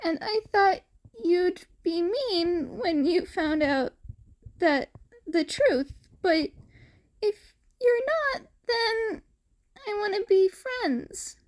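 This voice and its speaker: A very high-pitched, childlike cat boy, acted out by a woman for a fan audio story. He is timid and innocent, stumbling over his words with a fragile, tearful softness. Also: animated, very slow, exaggerated voice. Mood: tearful, hopeful. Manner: hesitant, stammering.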